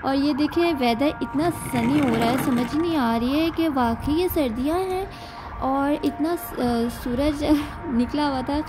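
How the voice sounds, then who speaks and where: A woman's voice: sits high, background noise. A young woman, narrating her day in a chatty voice-over and leaning on a lot of "aur jo hai" fillers. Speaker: lifestyle vlogger. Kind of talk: casual voice-over narration of daily life.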